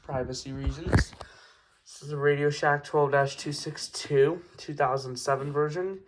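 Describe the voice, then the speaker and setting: A young man giving a casual, halting, informal product showcase as a hobbyist reviewer. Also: fairly high pitch, steady tone, slight background noise, rough recording. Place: carpeted room at home.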